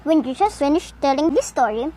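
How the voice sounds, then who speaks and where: Female storyteller, very high voice, lively intonation, deliberate read-aloud delivery for children. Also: slight background noise.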